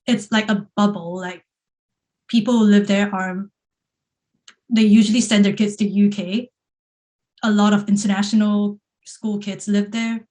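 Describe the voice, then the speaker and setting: A woman's voice: medium pitch, steady tone, unhurried, pauses often, darkish tone. Podcast guest: Hong Kong woman in her thirties, Singapore-tinged English, reflective and casual. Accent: Hong Kong English with a touch of Singaporean. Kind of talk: casual interview conversation.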